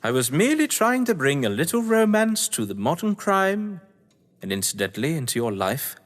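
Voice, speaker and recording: An actor playing a whimsical, scheming crime-novelist lord of the manor, with a British accent and a pompous, florid delivery, in a stage-thriller audio drama. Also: male voice, a little high, very animated, moderate pace. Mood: wry, smooth. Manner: florid, pompous, playfully grandiose. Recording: long hall-like reverb.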